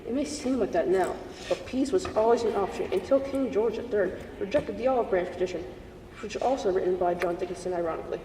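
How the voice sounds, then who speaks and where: A man's voice: high-pitched, fast talker, mellow, close to an everyday voice. A teenage boy playing reasonable, polite historical characters in an amateur puppet show, reciting the script matter-of-factly. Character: reasonable, knowledgeable debater, later a grateful wounded soldier. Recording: echoey room, distant mic, faint noise.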